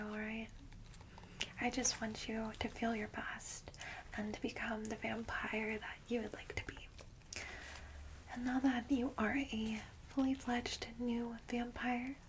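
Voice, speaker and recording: A woman voicing a friendly, reassuring vampire guide in a soft, breathy ASMR whisper. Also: a little high, background noise, lightly acted. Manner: gentle, reassuring, hesitant asides. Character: friendly female vampire guide, centuries-old, caring and reassuring. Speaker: ASMR roleplayer.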